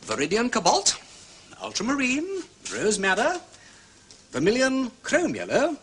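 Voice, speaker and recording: An actor playing an eloquent, confident gentleman sleuth with an upper-class English accent, urbane and precise in a detective drama. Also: sits high, a little hiss or hum, exaggerated voice. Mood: emphatic, animated. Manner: urbane, articulate, emphatic explaining. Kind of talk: British detective drama acting.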